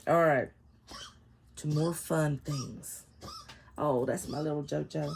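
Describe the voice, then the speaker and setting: A woman's voice: deep voice, steady tone, slow, gravelly, darkish tone. A woman in her fifties with a cold, sounding nasal and congested, chatting casually in a vlog from home. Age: 50s. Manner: rambling and chatty, with frequent laughs. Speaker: planner vlogger. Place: home, seated in a chair. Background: faint neighbors' construction noise.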